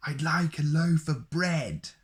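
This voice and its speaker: A man voicing a loud, bossy storybook character who booms his words, pitched well above his own voice. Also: slow pace. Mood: booming. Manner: boomed high and stretched out.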